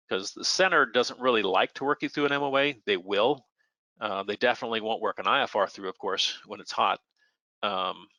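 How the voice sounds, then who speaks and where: A man's voice: on the low side, pushed and bright, dry recording, poor audio. An American man, an air traffic controller, answering questions in a plainspoken, conversational explaining style, sometimes acting out short example exchanges. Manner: plainspoken, illustrates points with imagined radio exchanges.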